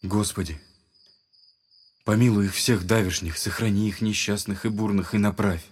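An actor playing a gentle, devout and troubled young brother in an audio drama, speaking earnestly. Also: male voice, lowish voice, steady tone, normal speed, slightly rough. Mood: prayerful. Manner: earnest, soft-hearted, prayerful.

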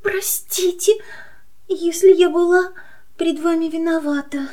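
A woman's voice: very high-pitched, steady tone, measured pace, dark tone. A woman playing a homeless, pleading aunt cat in a children's audio play, lamenting in theatrical verse. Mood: tearful pleading. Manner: plaintive, lamenting.

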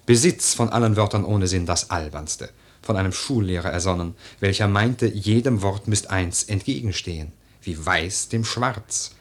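An actor playing a young, world-weary widower in a verse radio drama, speaking gravely and deliberately. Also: male voice, low-pitched. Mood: resigned, musing. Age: young adult.

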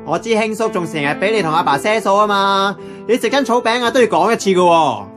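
A man playing an eager, impatient young nephew in a radio drama, animated and colloquial, with a Hong Kong accent. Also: high-pitched, fast talker. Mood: impatient, indignant. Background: plucked guitar music.

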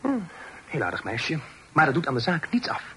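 An actor playing a witty young brother in a period radio play, bantering with mock pomposity and old-fashioned phrasing. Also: male voice, on the high side, wildly swooping pitch, moderate pace. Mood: mock-stern. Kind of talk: Dutch radio play acting. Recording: noisy old radio drama recording.

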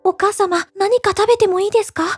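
A woman voicing a gentle, meek young girl in a storybook tale, timid and polite. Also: very high-pitched, steady tone, fast, no room sound, clean studio sound. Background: music.

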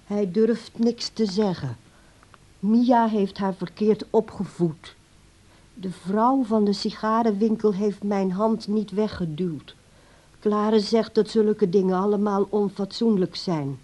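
A mellow, mid-pitched woman as a disapproving, calculating daughter in a radio play. Her delivery is controlled and pointed. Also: steady tone, slow pace. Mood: deliberate reading. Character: critical, calculating adult daughter of an old man. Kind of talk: Dutch radio play acting.

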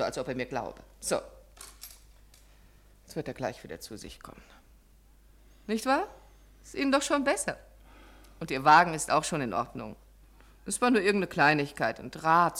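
A woman's voice: low voice, big pitch swings, fairly quick, pauses often, fairly bright tone, full character voice. An actress playing a caring, composed woman helper in a 1950s German radio drama, speaking politely and soothingly. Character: caring, composed unmarried woman giving nursing help.

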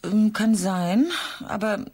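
A woman's voice: mid-range voice, fairly even intonation, takes it slowly, warm tone. An actress playing a wry, teasing, impulsive woman in a radio drama, speaking ironically with small hesitations.

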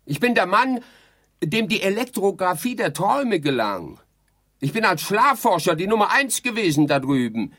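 An actor playing a haughty, exasperated dead sleep researcher in a theatrical radio play, declaiming and lecturing indignantly. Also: male voice, high voice, very animated, normal speed, a bit bright, exaggerated voice. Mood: boastful, agitated.

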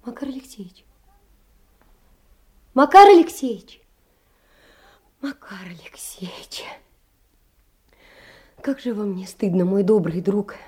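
A soft, mellow-voiced, tender young woman. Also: mid-range voice. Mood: apologetic, pleading. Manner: emotional, tender, often dropping to a breathy whisper. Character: poor, lonely, tender-hearted young seamstress writing letters. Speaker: stage actress. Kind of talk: theatrical audio-play monologue of letters.

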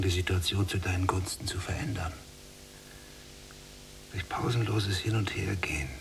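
An actor playing a watchful, coolly domineering younger prisoner in a radio drama, speaking in measured, musing phrases. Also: male voice, sits low, creaky. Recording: distant mic, noisy hum.

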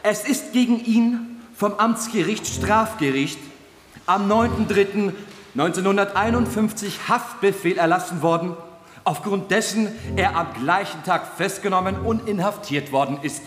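An actor playing a formal, faintly sardonic chronicler who declaims a legal record in a radio drama. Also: male voice, high-pitched, measured pace. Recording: echoey reverberant hall, faint noise.

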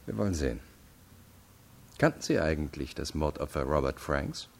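An actor playing a stern, probing investigator in a radio crime drama, formal, measured and insistent. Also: male voice, very deep voice, very animated, creaky, exaggerated voice. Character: stern, probing adult male investigating state attorney. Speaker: interrogator.

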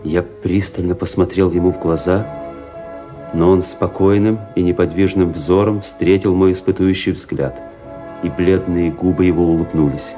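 A man narrating a radio play as an officer recalling events, in a grave, measured, brooding manner. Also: sits low, steady tone, music in the background, close to an everyday voice. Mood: ominous. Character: grave officer recounting a fateful memory.

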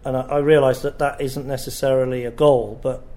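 A man's voice: mid-range voice, level tone, fairly quick, mellow. A man interviewing a guest on the radio, supportive, often prompting and finishing her sentences. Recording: faint background noise.

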